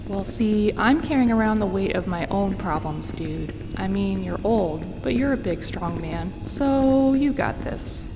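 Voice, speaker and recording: A woman voicing a sassy young female mercenary who mocks her commander with drawn-out teasing. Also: medium pitch, expressive, in a large hall, noisy background.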